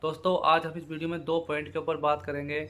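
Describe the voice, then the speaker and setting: Man, slightly high voice, flat intonation, informal video explainer, repetitive familiar phrasing. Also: quick pace. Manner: repetitive, familiar, frequent check-in tags. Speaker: YouTube host giving job exam updates. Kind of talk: informal explainer addressed to viewers.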